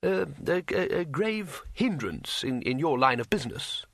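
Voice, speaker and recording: A man voicing an effete, sly astronomer ruler in an audiobook, with hushed, drawn-out, stammering speech. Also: on the high side, very animated, dry recording, clean studio sound, voice pushed up, exaggerated voice. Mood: mild, indulgent. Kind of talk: audiobook character voice.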